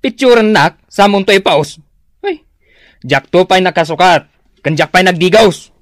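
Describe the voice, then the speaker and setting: A grumbling, self-conscious spouse in a comedic radio drama. The voice is on the low side and swings expressively, with an Ilocano Filipino accent and a reluctant, protesting manner. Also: female voice, expressive, unhurried, dry recording, clean studio sound.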